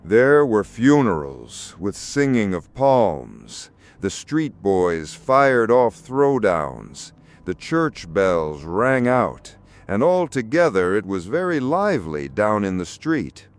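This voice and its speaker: A man with a fairly low voice reads a fairy tale as an audiobook narrator. His delivery is slow and measured, with extremely animated, sweeping intonation that brings the storytelling to life.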